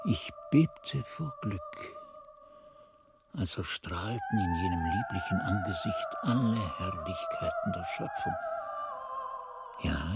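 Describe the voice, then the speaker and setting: A monk narrating his memories in a radio drama, with music playing beneath. His delivery is very slow and solemn, yet his pitch swings widely as he confesses with ardour. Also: heavily acted. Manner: expressive, solemn, literary delivery. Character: monk recalling his youth, confessional and ardent.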